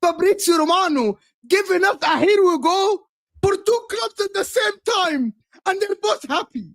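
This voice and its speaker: A man with a Texan American accent talks in a high, excitable voice, loudly exclaiming in disbelief during a casual football chat. The sound is dry and close, with no room echo. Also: normal speed. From Texas, USA. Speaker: podcast co-host.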